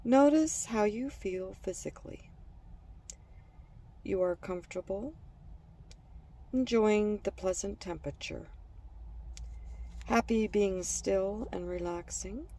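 A woman leads a children's guided relaxation, reading from a script. Her voice is mellow and soft-edged, and she speaks slowly and soothingly in short, separated phrases. She sounds a little away from the microphone. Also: medium pitch, distant mic. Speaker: guided meditation narrator.

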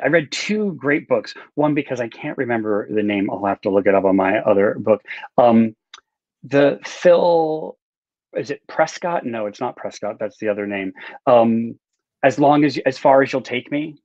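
A man's voice: medium pitch, measured pace, darkish tone, dry recording. Middle aged guy rambling on a video call, self-deprecating, kinda muffled lo-fi sound but dry. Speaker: author and writing teacher. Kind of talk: casual interview answers. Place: small book-lined room indoors, on video call. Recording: dull lo-fi video-call audio.

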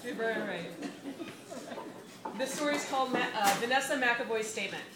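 A woman's voice: on the high side, lively intonation, normal speed. A middle-aged woman author chatting casually to a live audience at a reading event. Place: small bar reading room, indoors. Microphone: stand microphone, filmed from the audience. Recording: roomy, noisy bar on event microphone.

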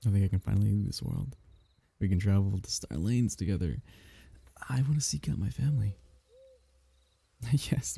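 A man voicing a lonely, doting alien symbiote in an intimate, soothing ASMR roleplay, coaxing its host with a low, gravelly tone. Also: dark tone, full character voice. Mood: hopeful, pleased.

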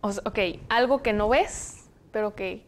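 A young woman from Zacatecas with a Mexican Spanish accent, hosting a studio discussion on a lapel mic in a TV studio, speaking in a measured, explanatory way. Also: medium pitch. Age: young adult. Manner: measured, explanatory, reads passages aloud. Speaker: host. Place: television studio, indoors.

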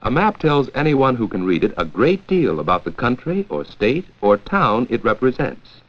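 An American man narrating a 1940s educational film from a script, with a clear, measured storytelling delivery. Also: mid-range voice, sing-song. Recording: dull, lo-fi 1940s 16mm film soundtrack.

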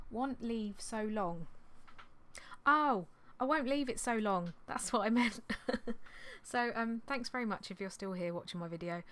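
Chatty, slightly hoarse woman vlogging. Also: a little high, slow, long pauses, a bit bright. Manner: rambling, apologetic, chatty. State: slightly hoarse, losing her voice. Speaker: clothing reseller vlogger. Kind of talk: casual chatty vlog. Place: small indoor craft room. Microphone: desktop condenser mic on an arm.

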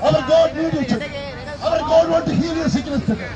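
High-voiced man preaching in a busy plaza. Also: brisk, lo-fi sound. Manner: emphatic, repetitive declarations. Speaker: street preacher. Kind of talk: open-air street sermon. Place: outdoor city plaza by a railway station. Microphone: handheld microphone. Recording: crowd and city noise outdoors.

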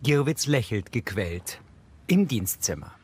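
A man's voice: on the low side, very animated, a little slow, a little mellow, slightly rough. A man reading scripted audio description, narrating what happens in each scene. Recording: music under the voice.

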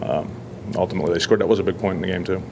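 A man in his forties, a football head coach, answering reporters after a loss in a measured, halting way with many fillers. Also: low-pitched, steady tone, gravelly, background noise. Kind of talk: post-game press scrum. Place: indoor press backdrop area. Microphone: several handheld reporter mics.

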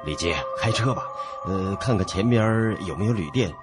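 A man's voice: on the low side, a little fast, over loud music, lightly acted. A man playing a decisive young traveller among friends in a radio drama.

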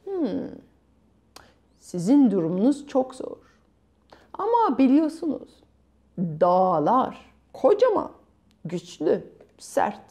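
A woman storyteller voicing a grave, wise old bearded man who gives solemn advice in deliberate phrases. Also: on the high side, wildly swooping pitch, dark tone, voice pushed up, full character voice. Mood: solemn, gentle.

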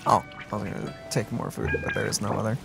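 Very deep-voiced woman chatting over a game. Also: wildly swooping pitch, fast, creaky, over music. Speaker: let's play co-host. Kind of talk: casual gameplay reaction chat. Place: indoor room, game audio behind.

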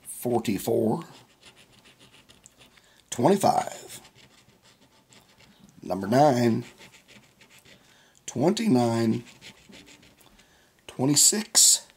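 A raspy, hoarse man who has lost some of his voice hosts his own vlog in a slow, easygoing drawl. He reads numbers off a ticket one at a time, his tone husky and rough with a gravelly edge. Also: medium pitch, very animated, darkish tone. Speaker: scratch-off ticket channel host. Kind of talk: casual vlog narration.

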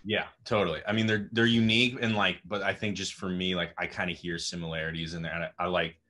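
A young man in his twenties with a raspy voice, chatting casually as a guest musician on a video call, rambling with lots of fillers. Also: deep voice, level tone, fast, bright, forceful tone. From Austin, Texas. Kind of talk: casual interview chat. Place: home room with music gear. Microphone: video call webcam.

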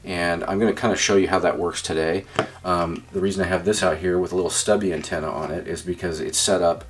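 A man's voice: deep voice, steady tone, quick pace. A man giving a casual hands-on demonstration as a radio hobbyist, explaining informally and thinking aloud as he goes. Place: indoors at a desk.

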